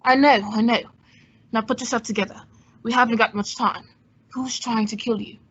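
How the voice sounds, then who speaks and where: A woman's voice: fairly high pitch, fairly even intonation, moderate pace, a bit bright, slightly rough. A woman playing a suave, wisecracking male adventurer-detective in an old-time radio drama, with a wry, composed, teasing manner. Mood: urgent, firm. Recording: dull, muffled lo-fi sound.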